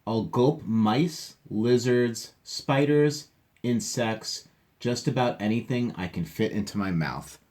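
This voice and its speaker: A man's voice: medium pitch, takes it slowly, a little mellow, lightly acted. A man voicing a greedy, big-mouthed horned frog from a children's picture book, with emphatic relish.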